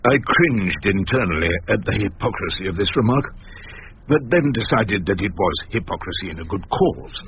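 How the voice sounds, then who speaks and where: A man's voice: fairly low pitch, sing-song, moderate pace, lo-fi sound, noisy background. A man playing an old English barrister who narrates his own story in a radio drama with dry, literary irony. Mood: dry, ironic. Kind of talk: scripted first-person radio drama narration.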